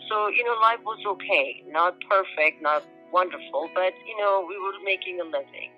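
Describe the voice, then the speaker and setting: An older woman from Afghanistan recounting her family's life in a hesitant phone interview, with many pauses and fillers. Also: lowish voice, muffled recording, faint music behind. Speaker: interviewee, refugee mother. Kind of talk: phone interview, personal account.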